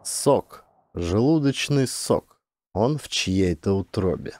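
A man's voice: on the low side, expressive, slow, darkish tone. A man reading scripted fiction as a dramatic audiobook narrator.

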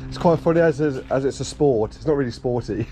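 A man's voice: medium pitch, fast talker. A man in his thirties vlogging outdoors, chatting casually and enthusiastically about old cars while filming on an action camera. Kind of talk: casual walkaround chat. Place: outdoors on a street. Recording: noisy outdoor street recording.

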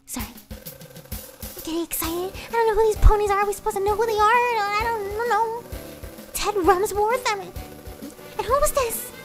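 A performer voicing an excitable, nervous, sweet young cartoon pony girl in a squeaky voice, stammering and gushing through in-character game commentary. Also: moderate pace, pauses often. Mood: nervous, chatty. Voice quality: squeaky, very high voice. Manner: stammering, gushing, childlike chatter. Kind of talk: in-character let's play commentary. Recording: music behind the voice.

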